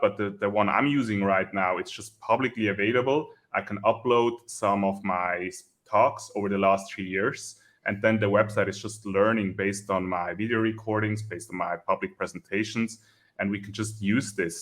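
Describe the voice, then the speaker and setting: A man with a strong German accent, calmly explaining the technical side as a security researcher co-hosting a video talk. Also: lowish voice. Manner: methodical, explanatory.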